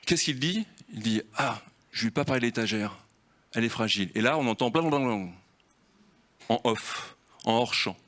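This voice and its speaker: A man's voice: mid-range voice, moderate pace. Talkative man leading a film round table. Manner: lively and talkative, explaining with rhetorical questions. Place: festival round-table room.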